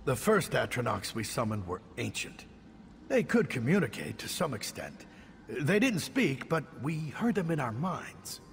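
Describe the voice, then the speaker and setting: Agitated captive mage, gravelly and swooping. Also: male voice, fairly high pitch. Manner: agitated, theatrical. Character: fearful, indignant middle-aged captive mage. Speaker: game character actor. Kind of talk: video game dialogue acting. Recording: long reverb, background noise.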